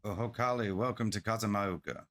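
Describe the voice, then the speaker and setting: A man's voice: lowish voice, a little fast, fairly bright tone, natural voice. A man reading the part of an eager, polite merchant in a game, with effusive, formal sales patter. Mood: welcoming. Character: eager, polite merchant founder of a travel agency. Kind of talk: game quest dialogue read aloud. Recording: music behind the voice.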